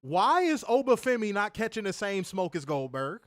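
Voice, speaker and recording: A man's voice: sits high, big pitch swings, normal speed, no room sound, studio quality. A man co-hosting a wrestling review, talking in a casual, profane and mocking way and laughing often. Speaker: co-host of a wrestling review show.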